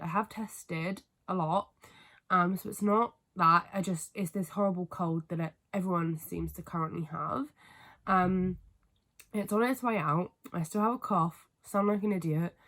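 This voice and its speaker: A young woman talking to camera in a relaxed, meandering way, her nose blocked from a cold. Her voice sits a little low for a woman, and she leans on "um" and restarts. It sounds like a casual home vlog filmed in her bedroom. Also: normal speed. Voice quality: stuffy, congested. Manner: rambling, filler-heavy, apologetic. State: has a cold, sniffly. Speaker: book vlogger. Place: family home bedroom, indoors.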